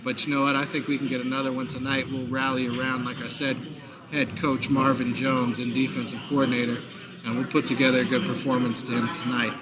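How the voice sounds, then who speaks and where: Hoarse sports talk guy broadcasting live from a packed bar, lots of crowd noise, chatty. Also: mid-range voice, level tone, a little fast. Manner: chatty, promotional, frequent fillers. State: hoarse, recovering lost voice. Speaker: sports talk show host. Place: back room of a busy sports bar. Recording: noisy bar crowd behind.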